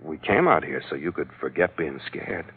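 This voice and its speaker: Hard-boiled sea captain, deep voice, 1940s radio adventure, wry, terse, dull vintage recording. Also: male voice, very animated, normal speed, slightly rough, exaggerated voice. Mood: tender, easy. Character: tough, romantic male sea captain narrating his adventure. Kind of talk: 1940s radio adventure acting and first-person narration. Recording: muffled old radio recording with music.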